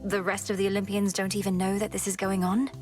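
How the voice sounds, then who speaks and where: A woman's voice: mid-range voice, monotone, lightly acted. A woman as a solemn young witch heroine over music. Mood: formal, reproachful. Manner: formal, archaic, measured phrasing. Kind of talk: video game voice acting. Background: game music.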